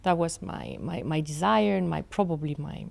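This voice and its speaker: A woman in her forties with a French accent, a guest in an interview, speaking in a measured way with many fillers and restarts. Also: low voice, animated, vocal fry, darkish tone. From France. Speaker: law professor, guest. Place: studio set with armchairs. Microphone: desk mic on boom arm.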